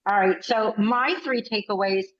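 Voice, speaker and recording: A woman's voice: medium pitch, a little hiss or hum. Chatty woman in her sixties. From United States. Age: 60s. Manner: chatty, animated storyteller, quick bursts. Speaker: workshop participant. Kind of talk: casual video call chat. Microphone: video call. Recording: roomy, slightly muffled call audio.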